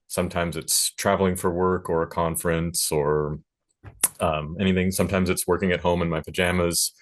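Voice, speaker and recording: A man's voice: sits low, little pitch movement, normal speed, slight background noise. A man speaking casually as a panelist about his working life as a sound engineer and teacher. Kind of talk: casual panel talk about own career.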